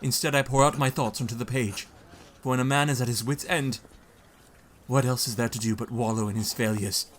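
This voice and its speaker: A man's voice: mid-range voice, fairly even intonation, a little fast, fairly bright tone, slight background noise, lightly acted. An actor playing an anxious young male hero who narrates his journal in a brooding tone in an audio drama.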